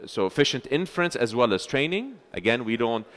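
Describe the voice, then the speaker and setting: A man giving a conference talk as chair of a research center, speaking loudly into a microphone with frequent filler sounds and restarts. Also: mid-range voice, very animated, brisk. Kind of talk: conference presentation. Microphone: handheld or stage microphone.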